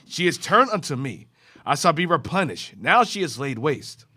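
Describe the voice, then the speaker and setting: A man reading scripture aloud in a class, with a steady, formal reading cadence. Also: fairly high pitch, normal speed, husky.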